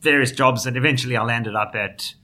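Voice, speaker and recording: A man's voice: mid-range voice, fairly even intonation, a little fast, bright, forceful tone. A middle-aged man from South Africa with a South African accent, a finance journalist talking as a guest in a conversational interview, hesitant with many fillers and restarts.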